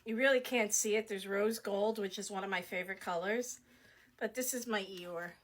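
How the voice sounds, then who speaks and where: Hoarse chatty middle-aged woman. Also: medium pitch, moderate pace. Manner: chatty, laughs often, many fillers. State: losing her voice, hoarse. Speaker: small shop owner. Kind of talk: casual product showcase. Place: her shop office.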